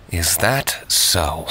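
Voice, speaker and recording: A man voicing a stern, brooding young nobleman in a video game, dry, curt and deadpan. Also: low-pitched, a little hiss or hum, heavily acted. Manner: serious, deadpan. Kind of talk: video game cutscene acting. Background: background music.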